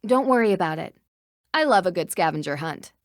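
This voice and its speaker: An actress playing a curious, polite young amateur detective in a mystery game, composed and articulate. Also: female voice, on the low side, animated, brisk, dry recording, studio quality, lightly acted. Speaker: voice actor. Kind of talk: scripted mystery game dialogue.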